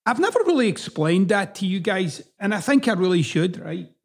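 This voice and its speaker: A man's voice: sits high, animated, normal speed, gravelly. A middle-aged man from Scotland with a partly Americanized Scottish accent, hosting a podcast in a blunt, emphatic monologue full of swearing. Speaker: podcast host. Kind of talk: casual monologue.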